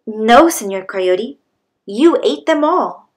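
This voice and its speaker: A woman's voice: fairly high pitch, expressive, slow pace. A woman voicing a small, earnest, polite young male rabbit in a children's storybook. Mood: apologetic. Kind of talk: children's storybook read-aloud.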